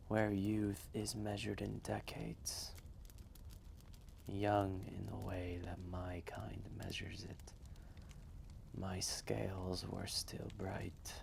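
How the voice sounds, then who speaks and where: A man voicing an ancient, gentle, wistful dragon telling a fireside roleplay story in a soft, breathy, measured and gravelly voice. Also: low-pitched, a little hiss or hum, exaggerated voice. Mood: nostalgic. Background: quiet fireplace ambience.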